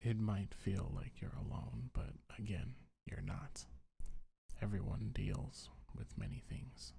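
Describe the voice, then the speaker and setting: A man speaking in a deep, breathy, husky voice, half-whispering gentle, reassuring affirmations in a soothing ASMR style. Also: takes it slowly, a little hiss or hum. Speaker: ASMR creator reading a comfort script.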